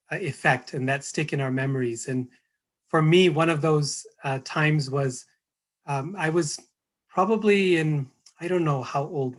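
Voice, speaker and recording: A hoarse middle-aged American man giving a spiritual talk, hesitant, with many fillers and restarts. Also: fairly high pitch. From United States. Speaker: guest speaker.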